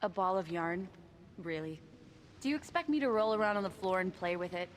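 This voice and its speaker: A woman's voice: on the low side, lively intonation, fairly quick, slight background noise, close to an everyday voice. An actress playing a dry, indignant young catgirl fighter in a video game, in anime-style delivery. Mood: dry, defiant.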